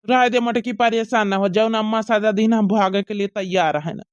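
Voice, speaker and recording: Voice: mid-range voice, fairly even intonation, moderate pace, clean tone, lo-fi sound, lightly acted. A performer voicing a put-upon, resigned village husband with an Awadhi accent, plaintive and fussing, in a family comedy cartoon.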